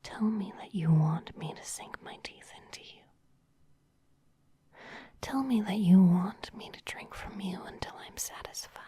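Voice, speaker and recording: A woman's voice: medium pitch, a little slow, dark tone, lightly acted. A woman playing a seductive, domineering vampire office boss in an ASMR roleplay, whispering breathily in a teasing, commanding manner. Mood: commanding, hungry.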